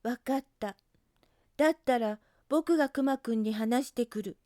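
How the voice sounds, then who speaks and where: A woman voicing a brave, caring male rabbit who speaks gently and sincerely in a children's storybook. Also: a little high, moderate pace, no echo, studio quality, natural voice. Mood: determined.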